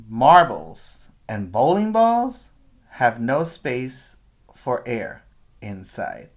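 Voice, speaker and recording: A male preschool teacher reads a children's book aloud at a very slow pace. His voice sits at a medium pitch. He phrases each line deliberately, in an instructional way, as if guiding young children through the pages. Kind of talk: read-aloud for young children with explanatory asides.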